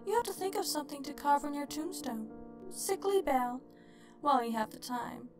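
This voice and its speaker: A woman's voice: high voice, lively intonation, voice pushed up. Woman playing a taunting, theatrical, morbid ghost over music. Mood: gleeful, taunting. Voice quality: groaning, creaky, cackling delivery. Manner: theatrical, drawn-out mock-sweet taunting. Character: gleefully morbid, taunting ghost haunting a hospital closet.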